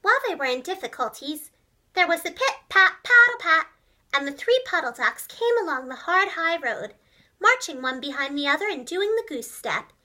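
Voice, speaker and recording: A woman telling a children's storybook tale expressively and clearly, as if to young children. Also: high-pitched, sing-song, moderate pace, bright, forceful tone. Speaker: storyteller, preschool teacher and voice actress. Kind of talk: animated children's storybook narration.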